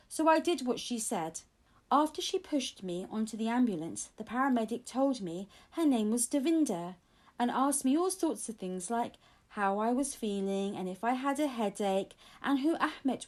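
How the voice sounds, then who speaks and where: A woman reading a children's audiobook aloud, telling the story expressively in the first person. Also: a little high. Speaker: audiobook reader.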